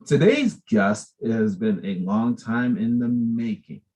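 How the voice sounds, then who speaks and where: A man's voice: mid-range voice, animated, takes it slowly, mellow. A man hosting an interview show, effusive and chatty as he welcomes his guest. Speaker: host.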